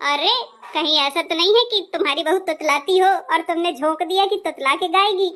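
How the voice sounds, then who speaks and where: A woman as a shrill, nosy neighbourhood auntie. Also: moderate pace, sharp tone. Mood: suspicious. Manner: teasing, needling, blunt. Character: nosy, teasing neighbourhood auntie, suspicious and blunt. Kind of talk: comic family skit acting.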